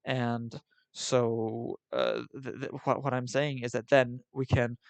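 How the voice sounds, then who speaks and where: A man from Switzerland who sounds partly American, hosting a casual call-in debate, talking haltingly with many repeated words and frequent laughs. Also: medium pitch, flat delivery, a little mellow, no echo. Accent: American-sounding with slight European tinge.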